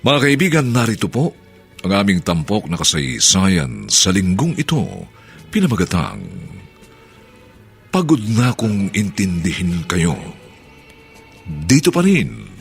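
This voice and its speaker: A man with a Filipino accent introducing a radio drama in a solemn, theatrical, deliberate way with strong emphasis. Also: low voice, very animated, slightly rough, faint music behind, full character voice. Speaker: drama anthology announcer and narrator.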